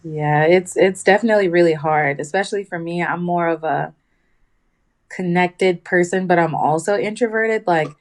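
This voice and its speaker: A woman with a fairly low voice speaks as a musical artist being interviewed. Her delivery is informal and easygoing, like a relaxed phone chat. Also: normal speed. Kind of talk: casual phone interview answers.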